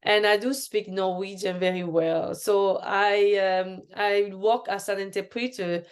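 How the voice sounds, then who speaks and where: A woman from Cameroon whose first language is French hosts a friendly interview show. Her voice is smooth and clear at a middling pitch. She gushes with praise and often stumbles and restarts mid-sentence. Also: steady tone, measured pace. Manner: warm and effusive, with frequent restarts and fillers. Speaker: show host. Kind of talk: casual interview chat.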